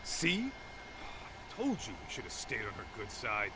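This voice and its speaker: Brash, lively detective sidekick, noisy audio. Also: male voice, on the low side, unhurried. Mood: cocky. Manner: blunt, informal. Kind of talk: video game English dub acting.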